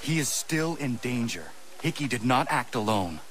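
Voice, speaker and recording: An actor playing a stern, principled young freedom fighter in a video game, speaking formally and solemnly. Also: male voice, medium pitch, sing-song, normal speed, fairly bright tone, a little hiss or hum. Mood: urgent. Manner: formal, solemn phrasing without slang.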